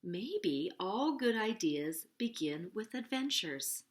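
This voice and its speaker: A woman voicing a gentle, helpful, earnest bear in a children's storybook, musing in an unhurried way. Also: medium pitch, very animated. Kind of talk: children's storybook character reading.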